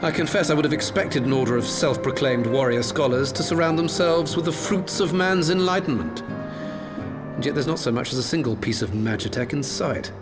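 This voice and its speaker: A man's voice: a little high, normal speed, close to an everyday voice. A man playing a pompous, sardonic Imperial engineer who talks down to others, in theatrical video game style. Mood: condescending. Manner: pompous, condescending. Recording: music behind the voice.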